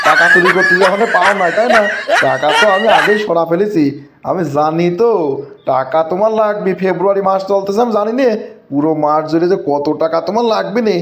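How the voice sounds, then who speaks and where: Stingy scolding dad with a Bogura accent, voiced by a man; high and quick. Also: heavy background noise, natural voice. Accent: Bogura dialect of Bangla. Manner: scolding, mocking, exasperated. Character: stingy scolding village father.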